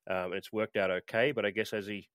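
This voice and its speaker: A man's voice: lowish voice, little pitch movement, fast, fairly bright tone, smooth, no room sound. A middle-aged Australian man chatting as a podcast guest, hesitant with plenty of fillers and restarts. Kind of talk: casual interview chat.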